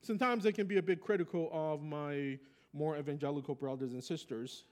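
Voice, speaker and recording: Pastor: man, Brazilian accent, native Portuguese, fairly high voice, conversational preaching with personal stories. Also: brisk. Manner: reflective storytelling, conversational. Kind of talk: sermon.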